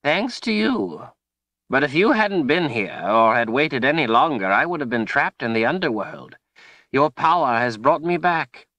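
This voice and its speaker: A man's voice: mid-range voice, big pitch swings, measured pace, sharp tone, no echo, pitched above the performer's own voice. A man voicing an old male wizard in a fantasy audiobook, gasping and emphatic, with a proud urgency. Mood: proud, relieved.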